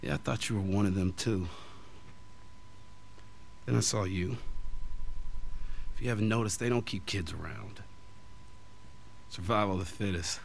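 Young male survivor, American accent, low gravelly voice, weary, conversational, friendly but wary, video game. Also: normal speed, pauses often, a little hiss or hum. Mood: conciliatory. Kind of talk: video game cutscene acting.

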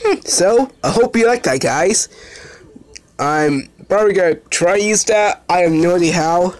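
A woman's voice: on the low side, expressive, normal speed, darkish tone. A woman vlogger chatting casually as she demonstrates an app, recorded through a phone's microphone. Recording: clicky, noisy phone recording.

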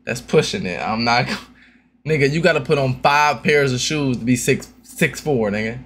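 Brash young streamer with a bright voice. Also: male voice, medium pitch, normal speed, a little hiss or hum. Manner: brash, profane, loose slang. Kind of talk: reaction commentary. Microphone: headset.

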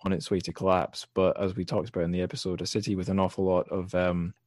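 A man with a low voice hosts a literary discussion, recorded close and dry. He speaks casually, feeling his way through long, discursive thoughts. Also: little pitch movement, fast, a little mellow, dry recording. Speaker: podcast host.

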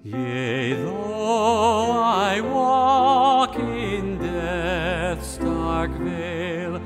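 A woman singing a hymn in a slow, sustained style. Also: on the low side, over loud music.

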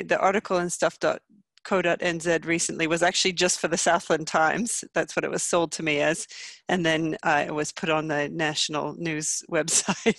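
A Canadian woman in her thirties talks through a medical webinar on a headset, friendly and full of ums. Her pitch stays fairly flat. The sound is dry and close. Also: creaky, dry recording. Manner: friendly, many ums, self-deprecating. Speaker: obstetrician giving a webinar. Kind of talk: medical lecture. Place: small home room, video call.

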